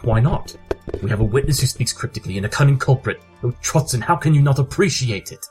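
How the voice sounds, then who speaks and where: A man playing a brilliant, excitable detective pony, speaking formally and theatrically in a scripted audio drama. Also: mid-range voice, over music, natural voice. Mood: giddy, excited. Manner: theatrical, formal, deliberate exposition. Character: brilliant, excitable male detective pony, giddy over puzzles.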